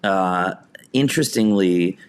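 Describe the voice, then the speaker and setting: A man's voice: low-pitched, slow pace, clear, resonant tone. A man being interviewed as a theatre director, telling stories conversationally and acting out bits of dialogue. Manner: anecdotal, hesitant phrasing, acts out quotes.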